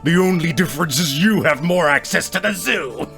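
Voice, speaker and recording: A man's voice: fairly high pitch, lively intonation, moderate pace, creaky, music in the background, pitched above the performer's own voice. A man voicing an arrogant, mocking self-styled god in a tabletop roleplay, theatrical and menacing. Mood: mocking, gloating. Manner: gloating, theatrical, given to laughing. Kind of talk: tabletop roleplay character acting.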